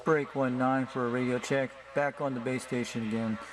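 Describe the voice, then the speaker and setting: A man's voice: mid-range voice, fairly even intonation, moderate pace. Man on a noisy recording, chatting casually. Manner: informal, friendly, CB slang. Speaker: amateur CB radio operator. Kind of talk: casual hobbyist chat and radio talk. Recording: clearly noisy home video recording.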